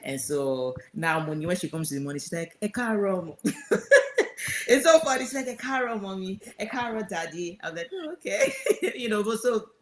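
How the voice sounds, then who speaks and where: A woman's voice: medium pitch, expressive, brisk, slight background noise. A woman, telling family stories on a panel, often laughing and hedging with fillers. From Nigeria. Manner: laughing, storytelling with hesitant fillers. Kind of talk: casual panel discussion.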